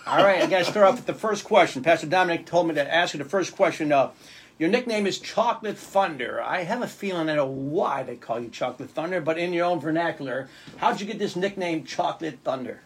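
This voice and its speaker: A man's voice: fairly high pitch, expressive, brisk, slightly rough. A man hosting a gospel radio interview show in a studio, affirming his guest with frequent amens. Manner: affirming, peppers talk with amens. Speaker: pastor and show host.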